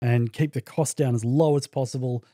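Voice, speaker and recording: An Australian man speaks as a podcast guest, thinking aloud and searching for his words as he tells his story. His voice is mellow and relaxed. The recording is close and dry, with no room sound. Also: mid-range voice, moderate pace, no room sound. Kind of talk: casual conversation.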